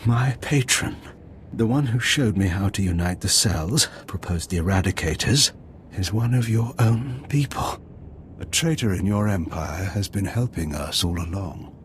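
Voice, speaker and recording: An actor playing a bitter, grandiose revolutionary leader in a video game, speaking in deliberate, theatrical speeches. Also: male voice, sits low, slight background noise. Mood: defiant.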